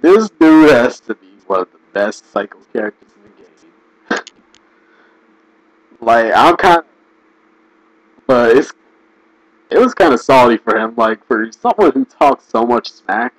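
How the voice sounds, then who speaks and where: A man giving casual, rambling commentary, restarting sentences and laughing often, his voice going hoarse. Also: mid-range voice, lively intonation, measured pace, long pauses, dry recording, low-quality recording. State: losing his voice. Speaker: video commentator.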